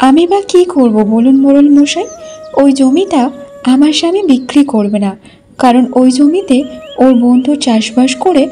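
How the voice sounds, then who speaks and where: A woman's voice: high-pitched, lively intonation, moderate pace, mellow, soft background music. A woman voicing a young, earnest village wife in a cartoon story. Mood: polite. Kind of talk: Bengali cartoon story voice acting.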